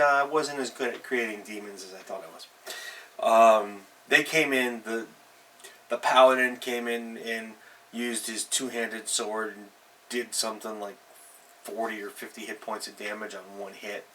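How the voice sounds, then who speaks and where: A man hosting a role-playing advice show, telling anecdotes in a casual, halting monologue and often correcting himself as he goes. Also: medium pitch, bright, forceful tone. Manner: halting, self-correcting, rambling. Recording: distant mic with faint background noise.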